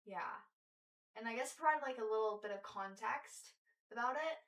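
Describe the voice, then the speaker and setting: A woman's voice: a little high, normal speed, a bit bright. A young woman co-hosting a podcast, chatting casually with many fillers and restarts. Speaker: podcast co-host. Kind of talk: casual chat. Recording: roomy echo, distant mic.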